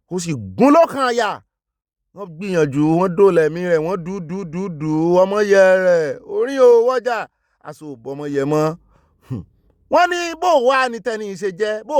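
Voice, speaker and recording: Rapid-fire excitable news man. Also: no room sound, studio quality. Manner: excitable, with sudden pitch jumps. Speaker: news commentator. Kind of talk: fast animated news commentary.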